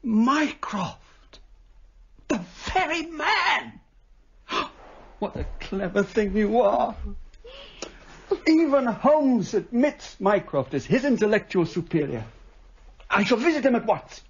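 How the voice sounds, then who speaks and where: An actor playing an earnest, loyal English gentleman doctor in a radio drama, courteous and formal with an urgent edge. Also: very high voice, sing-song, slow, creaky, long pauses, husky, muffled recording, full character voice.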